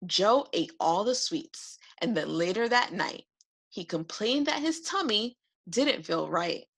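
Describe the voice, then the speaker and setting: A woman reading a children's picture book aloud for preschoolers in an expressive narrating style. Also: mid-range voice, slow, gravelly. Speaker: read-aloud host.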